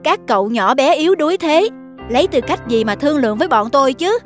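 A woman's voice: high-pitched, expressive, quick pace, faint music behind. A woman voicing a big, arrogant young elephant in a cartoon, haughty and mocking. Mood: scornful. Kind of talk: cartoon dialogue acting.

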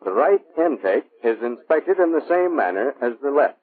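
American man, training film narrator, measured instructive delivery, tinny band-limited old soundtrack, slightly husky. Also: medium pitch, very animated. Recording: muffled, phone-like vintage military film soundtrack.

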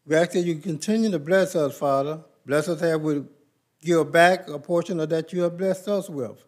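Man, slightly high voice, leads communion and prayer at a pulpit mic in a big sanctuary, measured and reverent. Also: darkish tone. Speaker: church leader at the Lord's Supper. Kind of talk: plain church service leading and prayer. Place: large church sanctuary.

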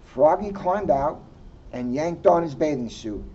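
A man's voice: a little high, animated, measured pace, dark tone. A middle-aged man reading a picture book expressively to children, recorded outdoors. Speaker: police officer reading to children. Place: outdoor pavilion bench in a park. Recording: muffled outdoor recording, faint background noise.

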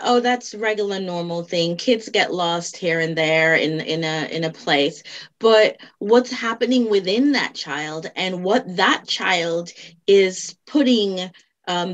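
A middle-aged woman speaking as an interview guest on a video call, explaining things emphatically and repeating key phrases. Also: medium pitch. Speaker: podcast guest, hypnotherapist and life coach. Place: indoor room at home, on a video call.

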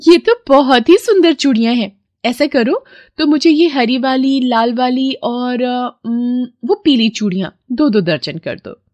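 A woman's voice: high-pitched, animated, a little slow, darkish tone, dry recording, pitched above the performer's own voice. A woman doing eager village bangle shoppers. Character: chatty village women buying bangles.

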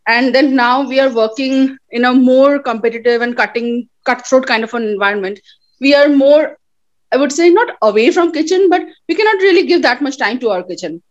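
A woman moderating a discussion, speaking thoughtfully with many pauses on a video call. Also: high voice. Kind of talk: casual discussion. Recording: dull lo-fi video call audio.

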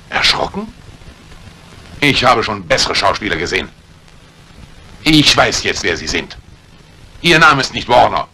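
An actor playing a stern, suspicious police inspector in a 1950s German radio crime drama, probing and sardonic. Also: male voice, medium pitch, sharp tone, exaggerated voice. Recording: old radio play recording with faint hiss.

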